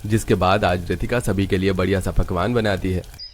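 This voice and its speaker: A man's voice: low-pitched, fairly even intonation, moderate pace, a little hiss or hum. A man narrating a scripted moral story as its storyteller.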